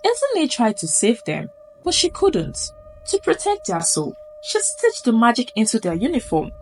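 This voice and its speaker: A high-voiced Nigerian woman tells a spooky folktale with great theatrical suspense. Her pitch rises and falls dramatically from phrase to phrase. Music plays softly behind her narration. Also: huge pitch swings, normal speed, poor audio. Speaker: storyteller. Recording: music under the voice. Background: background music.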